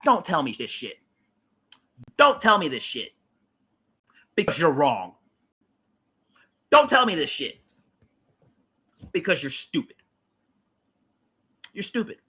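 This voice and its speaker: Heated, animated man ranting. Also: fairly high pitch, slow pace, long pauses, husky. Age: late 30s. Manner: heated, emphatic and repetitive, with blunt profanity. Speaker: livestream host. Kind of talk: political rant on a livestream.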